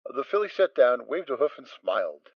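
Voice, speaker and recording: Expressive male story narrator. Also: mid-range voice, moderate pace, dry recording. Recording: dry, dull sound.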